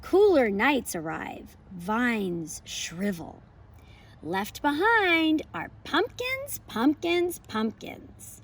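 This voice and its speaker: An American woman hosting a homeschool lesson and children's read-aloud, with expressive, emphatic storybook phrasing. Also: a little high, extremely slow, a little hiss or hum. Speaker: homeschool educator and channel host.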